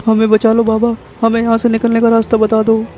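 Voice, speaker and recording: A man's voice: very high-pitched, monotone, brisk, dark tone, slight background noise. A man voicing a cowardly young man lost in a haunted forest, fearful and whining. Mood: anxious.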